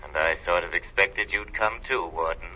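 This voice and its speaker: Vintage radio drama, mysterious invisible avenger, very forceful tense tone, low pitch, formal, sardonic, commanding. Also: male voice, highs cut off, full character voice. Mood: accusing. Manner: formal, taunting, deliberate diction. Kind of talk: 1930s radio crime drama acting. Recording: band-limited vintage 1930s radio recording.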